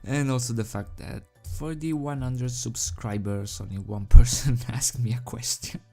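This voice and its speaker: A video host and fan fiction reader with a hesitant, halting, self-deprecating manner, speaking into a desk microphone. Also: mid-range voice, dark tone. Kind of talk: casual rambling chat, then storybook narration. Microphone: desk microphone without pop filter. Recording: background music under the voice. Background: music.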